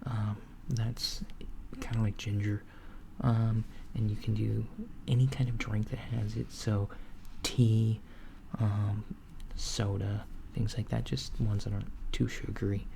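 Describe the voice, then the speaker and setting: A man playing a gravelly, soft-spoken alien doctor. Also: fairly low pitch. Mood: gentle. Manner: soft-spoken, unhurried, slipping into whispers; hesitant, with frequent ums. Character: calm, attentive male alien doctor. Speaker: ASMR roleplay creator. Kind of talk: softspoken ASMR roleplay. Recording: lo-fi with steady background hiss.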